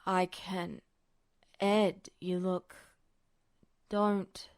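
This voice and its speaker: Woman acting out drugged tired man, lowered pitch, dragging pace, halting mumble, concerned, story reading. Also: little pitch movement, no echo, clean studio sound. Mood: weak, pleading. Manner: halting, slurred, mumbling, words trailing off.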